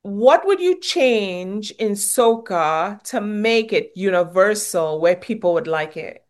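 A woman's voice: mid-range voice, animated, slow pace. Trini lady interviewer, lots of yeah yeah, rambling friendly questions. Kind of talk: casual interview.

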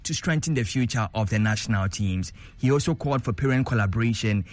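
A man presenting sports news in a steady, formal, scripted delivery. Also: mid-range voice, quick pace, dry recording.

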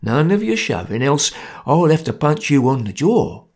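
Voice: mid-range voice, sing-song, moderate pace, darkish tone. A narrator voicing a blunt, menacing ringleader who bullies a weaker man, in a storybook reading. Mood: threatening. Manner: grim, blunt, bullying. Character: tough, menacing Cockney ringleader.

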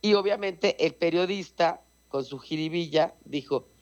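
A man's voice: a little high, measured pace, highs cut off. A Mexican man with a Mexican Spanish accent, chatting casually and reading out an old news story, explaining with asides and repeated words.

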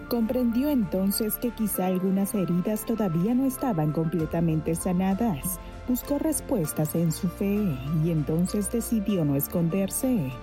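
A woman reading a scripted feature story in a calm, even storytelling manner. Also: mid-range voice. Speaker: feature narrator. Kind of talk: scripted narration.

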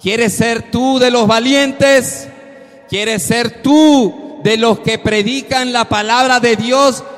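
A man with a very high voice delivers a sermon at a slow, deliberate pace. He exhorts his listeners earnestly and breaks into loud emphatic peaks. His voice rings through a long reverberant hall. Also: steady tone. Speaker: preacher.

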